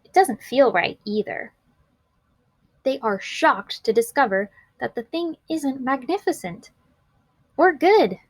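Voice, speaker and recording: Female children's story reader: high-pitched, slow and deliberate, expressive delivery, close dry sound. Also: no room sound. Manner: expressive, deliberate storytelling with emphasized action words. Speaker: read-aloud teacher. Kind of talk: scripted picture-book read-aloud for children.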